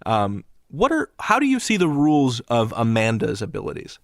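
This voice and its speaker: A man hosting a podcast, reading the outro and credits in a measured, announcer-like way. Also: mid-range voice, wildly swooping pitch, gravelly, no echo, clean studio sound. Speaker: podcast host. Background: music.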